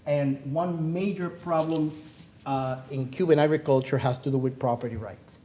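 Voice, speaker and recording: A man's voice: a little high, steady tone, moderate pace, dark tone, a little hiss or hum. A middle-aged Cuban-born man giving an earnest academic seminar talk as a panelist, pressing his points with rhetorical questions. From Cuba. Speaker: panelist speaking on the economy. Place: theater.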